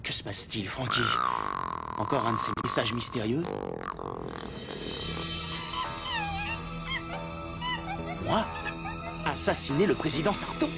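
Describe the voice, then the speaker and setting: A man's voice: medium pitch, huge pitch swings, moderate pace, creaky, dull audio, over loud music. A man playing a heroic cartoon rebel leader, earnest, decisive and dramatic. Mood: bewildered. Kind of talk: French cartoon dub acting.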